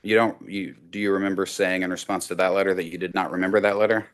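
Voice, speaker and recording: A man working as an attorney, cross-examining a witness over a video call with measured, methodical questions. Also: low-pitched, level tone.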